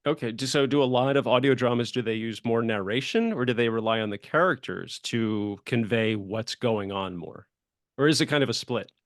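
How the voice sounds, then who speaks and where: A man with a medium-pitched voice hosts a casual interview, recorded dry with no room echo. He is chatty and a bit rambling, building long questions with imagined quotes. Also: normal speed. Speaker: show host, interviewer.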